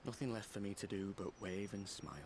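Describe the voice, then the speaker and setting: An actor playing an earnest, loyal friend in a fantasy video game, plain-spoken and encouraging. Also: male voice, fairly low pitch, steady tone, fast, darkish tone, a little hiss or hum, lightly acted. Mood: wistful. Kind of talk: dramatic video game voice acting. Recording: music behind the voice.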